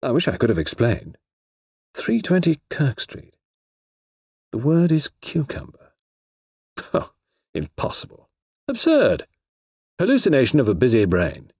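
A man's voice: medium pitch, huge pitch swings, a little slow, pauses often, darkish tone, slightly rough, no echo, clean studio sound, pitched above the performer's own voice, full character voice. A man reading a story, voicing a muddled, exasperated male writer who mutters and argues with himself. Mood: bewildered musing. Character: fussy English male author, exasperated and muddled.

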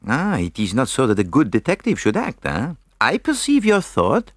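Fussy little french-accent detective for an audiobook, confident, dramatic, voice jumps all over, very dry sound. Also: male voice, medium pitch, huge pitch swings, dry recording, pitched above the performer's own voice. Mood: mocking, emphatic. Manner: theatrical, halting, peppered with "eh".

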